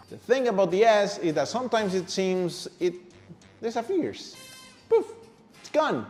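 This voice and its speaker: Higher-voiced Venezuelan man teaching playfully. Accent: Venezuelan Spanish. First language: Spanish. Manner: playful and animated, with rhetorical questions and asides to the viewer. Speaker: language teacher, video host. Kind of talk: upbeat, conversational scripted lesson to camera.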